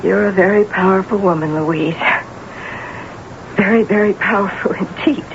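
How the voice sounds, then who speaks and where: A woman's voice: medium pitch, normal speed, highs cut off, background noise, natural voice. An actress playing a sardonic, scheming woman talent manager in a radio drama, with a pointed, biting and theatrical delivery. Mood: grave. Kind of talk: radio drama acting and first-person narration. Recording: band-limited vintage radio broadcast with music.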